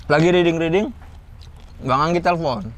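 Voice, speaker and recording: A man in casual chat, telling stories in a relaxed way about writing comedy films, laughing often. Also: fairly high pitch, normal speed. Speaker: comedy screenwriter.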